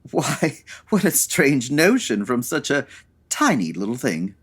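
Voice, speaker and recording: Flamboyant, fussy artistic gent, gushing endearments, hugely animated delivery, audio-drama comedy. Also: male voice, mid-range voice, husky, exaggerated voice. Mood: lofty. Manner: effusive, affected, drawn-out endearments.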